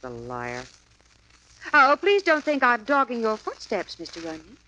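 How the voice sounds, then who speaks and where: A woman playing a sharp, insistent young woman in a 1950s radio drama. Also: a little high, wildly swooping pitch, unhurried, slight background noise, full character voice, low-quality recording.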